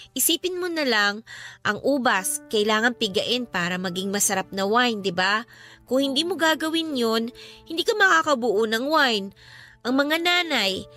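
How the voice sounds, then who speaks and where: A high, bright young female voice plays a devout sister in mourning. She speaks earnestly and reassuringly, in the manner of a Filipino radio drama, with music underneath. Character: grieving, devout younger sister consoling her older friend.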